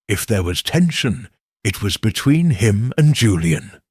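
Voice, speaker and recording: Man voicing a cool, measured society woman. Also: lowish voice, big pitch swings, a little mellow, no echo, studio quality, heavily acted. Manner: smooth, measured, faintly edged. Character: cool, calculating upper-class woman.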